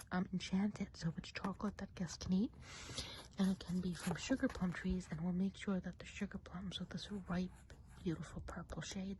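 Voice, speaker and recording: Gossipy witch of the woods, played by a woman, ASMR roleplay. Very soft, warm, breathy whispering, gentle and chatty; slight background hiss. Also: lowish voice, lightly acted, rough recording. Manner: chatty, gentle, unhurried musing. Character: friendly gossipy witch of the enchanted woods, planning a party.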